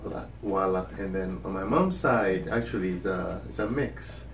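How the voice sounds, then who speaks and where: A young man with a Ghanaian accent casually explains things in an interview. His voice is very low, in an echoey room with faint music behind it. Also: normal speed, vocal fry. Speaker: interview guest. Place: small indoor room. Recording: roomy, distant mic, music underneath.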